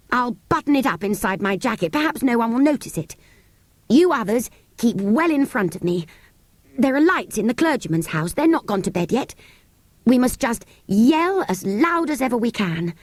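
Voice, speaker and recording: A woman voicing a bold, bossy young schoolboy in an audiobook, giving slow, deliberate, emphatic commands. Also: a little high, very animated, no echo, voice pushed up. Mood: urgent, bossy.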